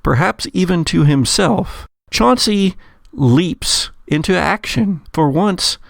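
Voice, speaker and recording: A man's voice: sits high, animated, slow pace, vocal fry, no echo, studio quality. A man running a tabletop game as game master, narrating dramatically with theatrical, florid phrasing. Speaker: game master of an actual play podcast.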